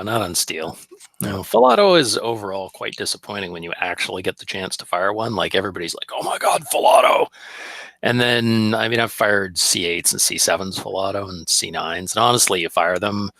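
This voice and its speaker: A man's voice: lowish voice, lively intonation, a little fast, gravelly, pushed and bright, no echo. A Canadian man co-hosting a podcast, chatting casually with wry, sarcastic jokes. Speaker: podcast co-host. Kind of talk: casual banter about shooting.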